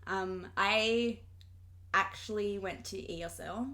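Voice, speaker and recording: A young Australian woman chatting as a podcast guest. She sounds relaxed and conversational, with lots of "like" filler. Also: medium pitch, takes it slowly. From Australia (Sydney). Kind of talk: casual chat.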